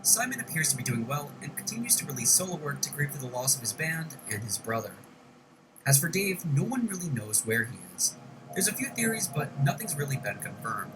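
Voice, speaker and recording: A man's voice: a little high, big pitch swings, a little fast, low-quality recording. A man delivering scripted, documentary-style narration for a video essay. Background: music.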